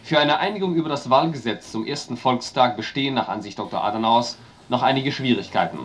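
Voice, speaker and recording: A man playing a sober official, reading formal political minutes in a measured, factual way. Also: mid-range voice, slight background noise, close to an everyday voice.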